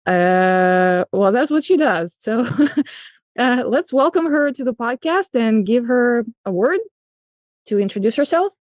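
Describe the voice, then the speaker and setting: A woman's voice: a little high, lively intonation, unhurried, dry recording, clean studio sound. A woman hosting a podcast interview, eager and enthusiastic, often restarting her phrases. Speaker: podcast host. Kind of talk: casual interview.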